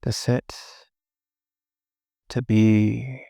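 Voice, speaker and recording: A man leading a guided meditation in short, gently instructive phrases with long pauses between them. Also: on the low side, level tone, vocal fry, no echo, studio quality.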